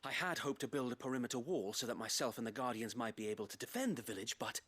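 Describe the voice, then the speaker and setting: An actor playing a dutiful, courteous but burdened guard captain in a fantasy video game, formal and articulate with an English accent. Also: male voice, mid-range voice, expressive, quick pace, a bit bright, husky. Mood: alarmed, grim. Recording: music behind the voice.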